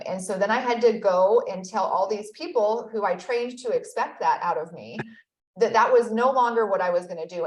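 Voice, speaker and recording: A woman's voice: mid-range voice, reverberant, poor audio. A woman in her thirties, a guest in a conversational interview, telling her story in an earnest, reflective way. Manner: earnest, reflective storytelling with frequent "you know". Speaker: guest, accountability expert.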